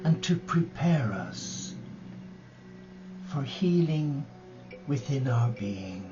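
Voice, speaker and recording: A man leading a guided meditation and prayer as a religious brother, speaking gently and reverently in short phrases with long pauses. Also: medium pitch, lively intonation. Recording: dull lo-fi live recording with music.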